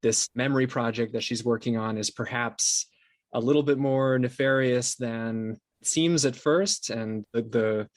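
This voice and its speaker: A man's voice: medium pitch, measured pace, no echo. A young man from Brazil talking casually as an interview guest. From São Paulo, Brazil. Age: young adult. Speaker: podcast guest, composer and sound designer.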